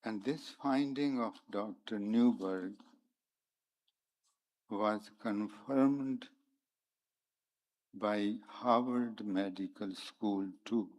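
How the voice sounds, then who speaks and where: A man, native in Punjabi, speaking at a conference with a lowish voice. He talks slowly and calmly, letting long pauses sit between short phrases. His manner is gentle and reflective. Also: low-quality recording. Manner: slow, meditative, pauses often between phrases. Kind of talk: spiritual talk mixed with sung verse. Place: conference hall.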